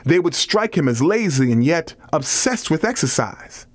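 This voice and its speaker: A man reads scripted nonfiction as an audiobook narrator, with measured, deliberate phrasing. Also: a little high, sing-song, no room sound.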